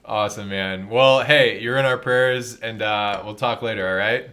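A man's voice: on the low side, moderate pace, pushed and bright, a little hiss or hum. A man who is a priest hosting a podcast, chatting casually with an enthusiastic, slangy, informal manner.

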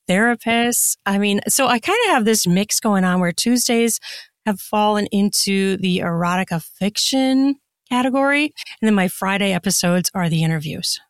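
A woman speaks in a medium-pitched voice with an easy, chatty flow. She sounds like a podcast guest giving casual, expansive answers. The sound is dry, with very little room in it. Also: no echo. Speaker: podcast guest, erotica author and audiobook narrator. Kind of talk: casual conversational interview answers.

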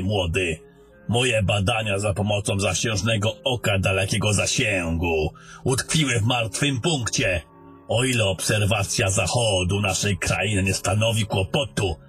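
A man acts out a gruff old fantasy wizard with a low voice. He is haughty and sardonic, grumbling and lecturing a younger soldier in a deliberate way, with music behind him. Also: fairly bright tone. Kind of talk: fantasy audio drama acting.

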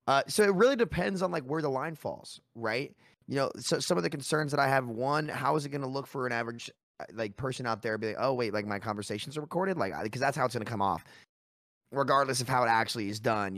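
A man's voice: mid-range voice, fairly bright tone, no room sound. Young man, panel guest, casual chat, laid-back, rambling, many fillers, dry close sound.

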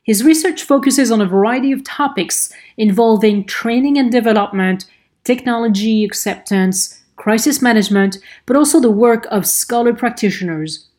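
A woman's voice: fairly high pitch, normal speed, a little mellow. A woman hosting an interview podcast, delivering prepared introductions and questions.

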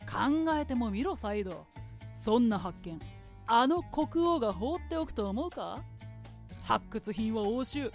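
High, imperious merchant boss played by a woman. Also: expressive, measured pace, a little mellow, soft background music. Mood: scheming. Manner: imperious, blunt, masculine phrasing. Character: brash, proud, scheming head of a trading company. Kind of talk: radio drama acting.

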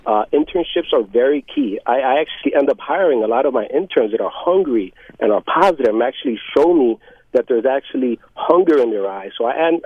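Husky man on a tinny phone line. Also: medium pitch, no room sound. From South Bronx, New York. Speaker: interview guest, media executive. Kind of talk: conversational interview answers.

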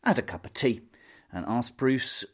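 A man's voice: mid-range voice, expressive, measured pace, husky. A man hosting a podcast, asking questions in a conversational music interview.

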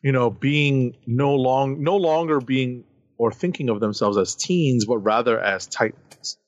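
A man's voice: mid-range voice, unhurried, no room sound, muffled recording. A man co-hosting a comic book podcast, running through new issues and their credits in a casual chatty way. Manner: lively, reads credits then chats. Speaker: podcast co-host.

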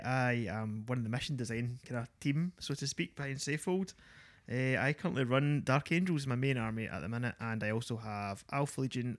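Jokey man introducing himself on a podcast. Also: medium pitch. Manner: jokey, laughs through his lines. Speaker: podcast co-host. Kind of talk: casual group podcast chat.

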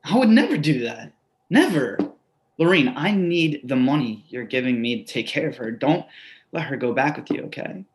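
A man's voice: medium pitch, lively intonation, normal speed, noisy background, lightly acted. A man in a play reading, playing an anxious, needy man with a stammering, pleading manner. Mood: defensive, anxious. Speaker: actor in a staged play reading. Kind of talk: readers theatre acting.

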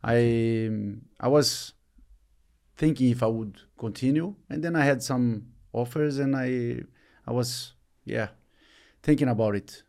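Brazilian-born man, halting interview answers. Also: medium pitch, gravelly, a little mellow. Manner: halting, searching for words, many fillers. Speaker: retiring football player, guest.

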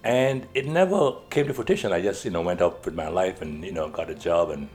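An older man from Trinidad, talking as an interview guest in a halting way, repeating words and laughing often. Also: sits low, lively intonation, a little mellow, soft background music. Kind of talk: casual interview talk.

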